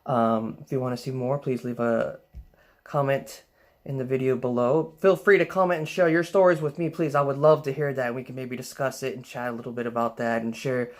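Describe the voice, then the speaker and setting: A young man with a soft voice, vlogging in a casual, rambling way, full of fillers and restarts. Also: medium pitch, level tone, brisk. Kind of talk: casual rambling vlog talk.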